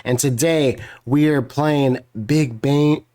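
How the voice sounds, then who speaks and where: A man with a hoarse voice hosts a puzzle video, casually explaining the rules in a rambling, apologetic way. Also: a little high, fairly even intonation, slow pace, dark tone. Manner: rambling, many fillers and restarts, apologetic.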